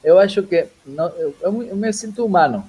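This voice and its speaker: Husky, mellow man speaking animatedly. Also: high voice, fairly quick, poor audio. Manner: animated, mixes Portuguese and Spanish. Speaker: composer, guest. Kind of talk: casual conversation.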